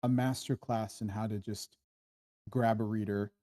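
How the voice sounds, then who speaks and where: A man in a casual group discussion, giving his critique in an emphatic but halting way. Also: on the low side, steady tone, dark tone. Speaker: participant in a script discussion.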